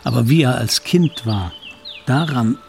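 An actor playing a middle-aged man who looks back on his childhood in a radio play, reflective, confiding and gravelly. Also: mid-range voice, a little slow, darkish tone, faint music behind. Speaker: narrator. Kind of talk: dramatic first-person radio play narration.

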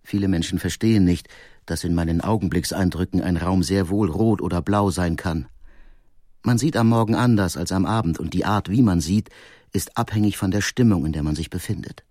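An actor playing a brooding, sensitive painter who reads from his diary in an earnest, introspective and deliberate way. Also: low voice, dark tone, dry recording, studio quality. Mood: earnest, insistent. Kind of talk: dramatic first-person diary reading.

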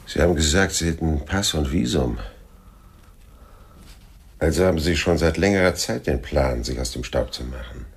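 Tough, world-weary sleuth for crime radio drama: rock-bottom pitch, husky, laconic, dryly sardonic. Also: male voice, moderate pace, long pauses, heavily acted. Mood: probing, dry. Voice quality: very deep, gravelly, husky. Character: world-weary, wry, tough male private detective, narrator. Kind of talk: German radio play acting and first-person crime narration.

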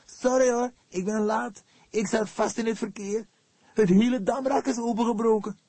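A man's voice: extremely high pitch, fairly even intonation, moderate pace, a little mellow, dry recording, voice pushed up. A man voicing a chatty, apologetic police fingerprint technician in an audiobook, informal and teasing.

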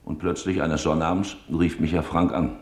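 An actor playing a grave businessman giving factual wartime testimony in a radio documentary drama, speaking deliberately. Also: a bass voice, little pitch movement, darkish tone, reverberant. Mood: grave, tense recall. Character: sober Dutch businessman, a witness recalling wartime hiding.

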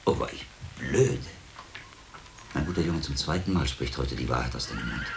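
An actor playing a shrewd, commanding middle-aged monk detective in a radio drama, deliberate and wry with a learned air. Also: male voice, very deep voice, gravelly, background noise. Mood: excited, urgent. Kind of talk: German radio drama acting.

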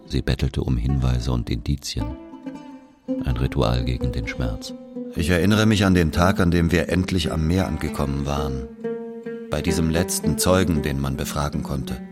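An actor playing a brooding, guilt-ridden Algerian man who recalls his past in a grave, measured literary monologue. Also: rumbling low. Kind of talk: literary monologue, scripted narration. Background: plucked guitar music.

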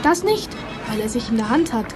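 A high girlish voice, a woman playing a lively, caring teenager in a youth audio drama. She is expressive, set against fairground music and a busy crowd. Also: brisk, close to an everyday voice. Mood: teasing. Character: lively, caring teenage girl, friend of the detectives.

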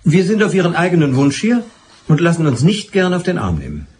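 An insistent, animated police inspector. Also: male voice, on the high side, brisk, noisy background. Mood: insistent, stern. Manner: formal, insistent, dry questioning. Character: persistent, exasperated male police inspector questioning a suspect. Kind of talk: radio drama acting.

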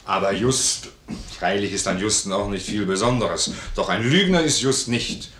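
An actor playing a blunt, loyal, jovial army sergeant, plain-spoken and hearty, in a classical comedy radio play. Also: male voice, on the low side, moderate pace, fairly bright tone, lightly acted. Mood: blunt, mocking. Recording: roomy vintage 1950s radio recording.

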